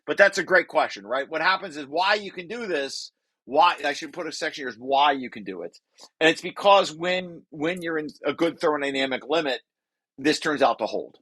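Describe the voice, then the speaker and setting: A man's voice: on the high side, expressive, normal speed, sharp tone, slightly rough. A man presenting a research paper draft informally to colleagues, repeating and rephrasing key phrases as he explains.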